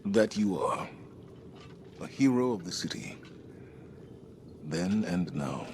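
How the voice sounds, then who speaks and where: An actor playing a grave, thoughtful commander in a video game, speaking in a measured, reflective way, over game music. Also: male voice, deep voice, animated, noisy background.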